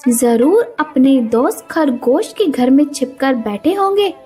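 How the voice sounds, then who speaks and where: A woman acts a scolding wife bird from an animated fable. She is suspicious and bossy, pitched very high. There is music underneath. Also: slow pace. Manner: nagging, bossy. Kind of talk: animated children's story character voice. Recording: music behind the voice.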